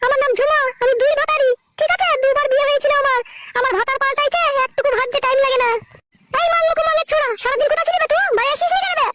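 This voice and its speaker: Brash cartoon wife, piercingly high, rapid, foul-mouthed, threatening, noisy recording. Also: female voice, steady tone. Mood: fiery threatening. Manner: shrill, threatening, insulting. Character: brash, fiery, foul-mouthed twice-married young village wife. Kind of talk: amateur cartoon village comedy dubbing. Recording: loud background noise.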